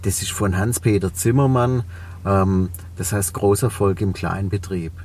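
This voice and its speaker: A man's voice: sits low, steady tone, unhurried, a little mellow, recorded from a distance, slight background noise. Swabian man, reflective and rambling. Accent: Swabian German. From Swabia, Germany. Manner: reflective, rambling with many fillers. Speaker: guest, cleaning company owner. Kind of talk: podcast interview.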